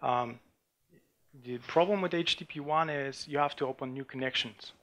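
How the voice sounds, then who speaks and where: A man from Bulgaria, giving a technical conference talk with a live demo and talking in a halting way, with many restarts and fillers. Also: medium pitch, fairly bright tone. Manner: halting, frequent restarts and fillers while demoing. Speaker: conference speaker. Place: conference room before an audience.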